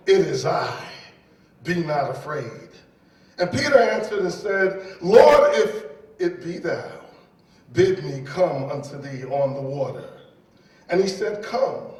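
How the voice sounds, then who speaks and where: A man from New York City preaches a sermon with a slightly raised pitch, repeating his key phrases and calling out to the congregation. He is picked up by a distant mic, and his voice rings through a very echoey hall. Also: a little mellow. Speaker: pastor.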